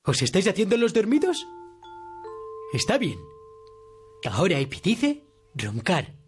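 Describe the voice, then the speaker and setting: A man's voice: high-pitched, wildly swooping pitch, a little slow, soft background music, exaggerated voice. A man playing a playful, mischievous boy puppet in a children's show, with a Castilian Spanish accent and a bouncy, coaxing manner.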